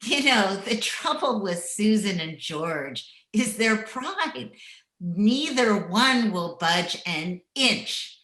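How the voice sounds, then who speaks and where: A woman playing a wry, plainspoken, slangy member of the household in an old-time radio drama, dry and deliberate. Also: mid-range voice, sing-song, fairly bright tone, reverberant. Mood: dry, skeptical.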